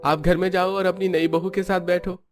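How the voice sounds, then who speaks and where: Man acting a higher-voiced, coaxing young son. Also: flat delivery, moderate pace, over music, close to an everyday voice. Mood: reasoning. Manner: polite, reasoning, coaxing. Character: reasonable, pleading young grown son, family peacemaker. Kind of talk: Hindi cartoon story dialogue.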